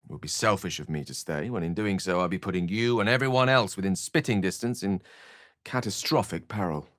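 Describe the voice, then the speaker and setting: An actor playing a learned, wry wizard adventurer in a video game, with a British accent and an eloquent, theatrical way of talking. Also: male voice, deep voice, fairly quick, a bit bright, no echo, studio quality. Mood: earnest, grave. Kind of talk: video game character voice acting.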